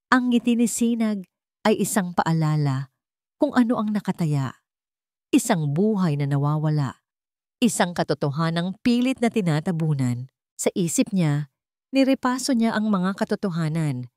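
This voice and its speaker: A woman's voice: on the low side, warm tone, no echo, studio quality. A woman reading a scripted, dramatic story as its narrator. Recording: music bed under the voice. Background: background music.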